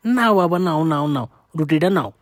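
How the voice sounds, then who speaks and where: A man voicing a cheeky, clever young village boy in a cartoon, excitable and repeating words urgently. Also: a little high, fairly quick, lightly acted. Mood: obliging. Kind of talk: cartoon acting. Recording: loud music under the voice.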